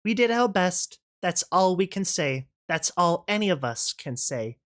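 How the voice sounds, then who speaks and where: A narrator voicing a gentle, sincere young female pony, injured and resigned, in a storybook reading. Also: medium pitch, sing-song, measured pace, pitched above the performer's own voice. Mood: gentle resignation. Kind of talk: audio storybook character voice.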